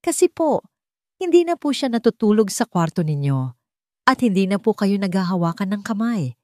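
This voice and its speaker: A woman voicing a quiet, hopeful, anxious young boy in an audio drama, speaking politely and hesitantly. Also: medium pitch, lively intonation, moderate pace, no echo, studio quality, close to an everyday voice.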